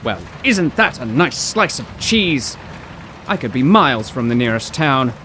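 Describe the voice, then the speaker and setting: An actor playing an excitable, bookish professor in a 1930s radio drama, theatrical and wordy with old-fashioned exclamations. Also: male voice, medium pitch, a little slow. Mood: dismayed. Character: excitable, bookish 1930s male poetry professor, stranded motorist. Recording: rain and road noise.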